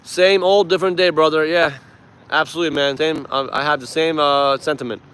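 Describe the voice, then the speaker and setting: Higher-pitched man streaming casually, emphatic. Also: fairly even intonation, brisk, a bit bright, clear, resonant tone, low-quality recording. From Iran. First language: Persian. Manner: emphatic, informal, swears freely. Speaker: live stream host. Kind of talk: casual livestream chat and political commentary.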